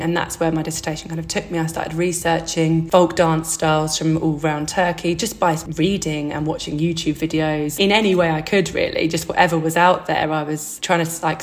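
Low-voiced young woman, chatty interview guest. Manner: chatty and reflective, with a light laugh and many likes. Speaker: dance artist guest. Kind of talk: casual interview.

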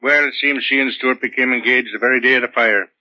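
Piercingly bright, sardonic police detective. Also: male voice, medium pitch, fairly even intonation, fast talker. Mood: dry, resigned. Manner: sardonic, blunt. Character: cynical, weary male police detective. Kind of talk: 1940s radio drama acting. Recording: dull lo-fi 1940s radio recording.

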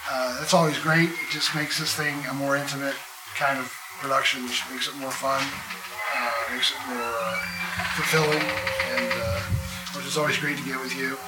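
A man's voice: mid-range voice, steady tone, normal speed, loud music behind the voice. A man talking casually as a panelist at a live convention panel, in a roomy, noisy space. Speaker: panelist, podcast producer. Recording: echoey room, clear background noise.

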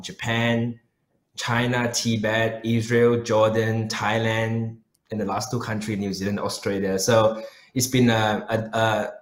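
A man with a Malaysian accent, speaking earnestly as a pastor giving personal answers in an interview. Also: on the low side. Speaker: pastor and missionary guest. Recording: roomy, echoing sound.

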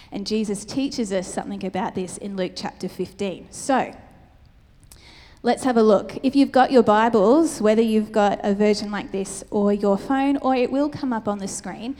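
A woman preaching a friendly, conversational sermon, her voice a little croaky. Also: mid-range voice, warm tone. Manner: friendly, conversational teaching with light humor. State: slightly croaky voice. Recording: long hall reverb.

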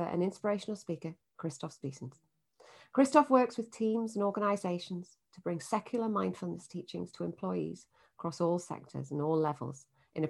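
A woman's voice: on the low side, gravelly. Woman hosting a leadership podcast. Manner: polished when reading, friendly and halting when improvising. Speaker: podcast host. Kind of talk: scripted intro, then casual interview.

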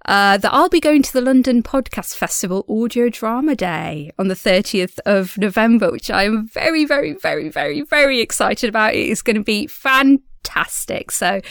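A woman's voice: a little high, dry recording, studio quality. A woman speaking in a casual audio diary, chatty and a little tentative, with a self-effacing manner. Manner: giggles often while talking. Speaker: podcast diarist and audio drama creator.

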